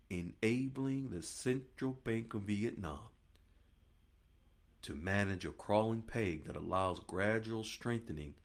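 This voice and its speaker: A man hosting a finance podcast, talking straight to listeners in an emphatic, preachy monologue that keeps repeating points and calling them "folks.". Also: on the low side.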